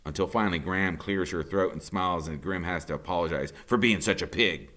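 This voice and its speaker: A man, a teacher, reading a novel aloud in the first person with an expressive, conversational delivery. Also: deep voice, fairly quick. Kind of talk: audiobook narration in first person.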